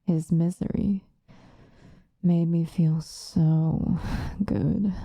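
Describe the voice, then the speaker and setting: Woman acting a slow, velvety possessive vampire lover. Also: lowish voice, warm tone, no echo, studio quality. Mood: gloating, cold. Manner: intimate, breathy, drawn-out seductive delivery, often dropping into whispers. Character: possessive, obsessive female vampire lover, sinister and tender. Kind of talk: ASMR roleplay monologue.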